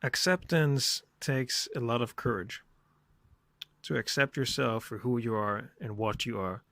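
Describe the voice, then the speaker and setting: Male podcast host, reflective monologue, medium pitch, unhurried and measured, dry recording. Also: bright, forceful tone, dry recording. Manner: deliberate, short phrases with repetition.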